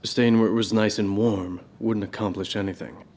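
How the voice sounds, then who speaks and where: An actor playing a middle-aged former fighter ace, now a professor, who speaks in a measured, brooding, reflective way in a game cutscene. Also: male voice, on the low side, fairly even intonation, darkish tone. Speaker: former fighter pilot interviewed.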